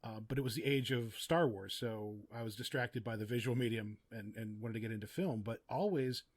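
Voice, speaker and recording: A man's voice: medium pitch, a little fast. A middle-aged man speaking as a podcast guest, explaining his craft in a casual, reflective and articulate way. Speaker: podcast guest, audio drama writer and producer. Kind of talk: casual, reflective interview talk.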